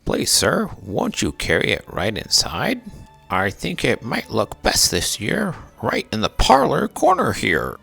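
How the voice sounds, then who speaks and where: A man voicing an excitable old gentleman in a storybook reading, exclaiming loudly. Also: lowish voice, unhurried, vocal fry, slightly rough, soft background music, pitched above the performer's own voice, exaggerated voice. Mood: delighted.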